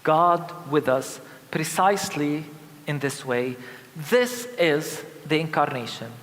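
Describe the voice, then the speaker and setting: A man from Palestine preaching a sermon of lament as a pastor, solemn and emphatic, with deliberate repeated phrases. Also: on the high side, animated, a bit bright. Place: large indoor church. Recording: reverberant church hall sound.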